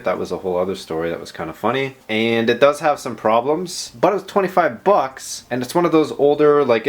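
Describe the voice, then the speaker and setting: Chatty man narrating a casual vlog. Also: medium pitch. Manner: chatty, self-deprecating, rambling asides. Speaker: host of a tech video.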